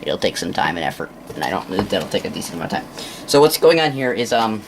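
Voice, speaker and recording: A man giving an unscripted hands-on demonstration in a halting, casual mutter as he works, on an amateur recording. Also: lowish voice, big pitch swings, vocal fry, background noise. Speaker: presenter of a hands-on science demonstration.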